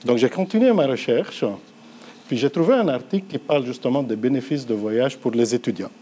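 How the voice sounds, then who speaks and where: A middle-aged man, an Arabic speaker, delivers a thoughtful conference talk in a mellow, warm tone. He speaks at an even, measured pace and pitches his voice in the middle of the male range. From Lebanon.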